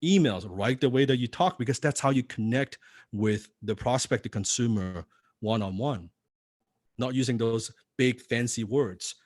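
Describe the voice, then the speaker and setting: A man's voice: mid-range voice, normal speed, a little mellow, no room sound. Punchy male seminar speaker, dry sound. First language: not English. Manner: punchy, with rhetorical questions to the audience. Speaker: seminar speaker teaching copywriting. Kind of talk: live seminar teaching. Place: seminar room before a live audience.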